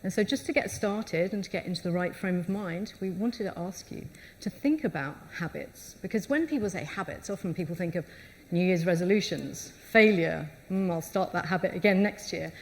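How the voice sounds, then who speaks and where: A woman's voice: lowish voice, normal speed, slight background noise. A woman co-presenting a live conference session, explaining and speaking directly to the audience.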